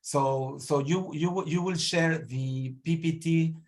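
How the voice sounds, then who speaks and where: Higher-voiced man moderating an online meeting. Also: slow pace. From Peru. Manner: courteous, repeats himself to urge speakers.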